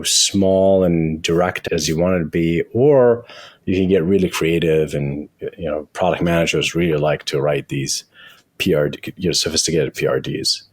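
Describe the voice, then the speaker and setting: A man with a husky voice speaking as a guest in an interview, explaining things in a halting way with frequent restarts. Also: low-pitched, darkish tone, no room sound. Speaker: guest, tech company founder and CEO. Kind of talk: interview conversation with software demo.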